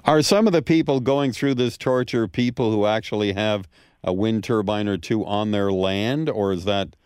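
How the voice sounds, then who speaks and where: A man's voice: fairly low pitch, moderate pace, a little mellow, smooth, dry recording, studio quality. A man hosting a radio interview, asking probing questions and agreeing often with his guest. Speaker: radio host.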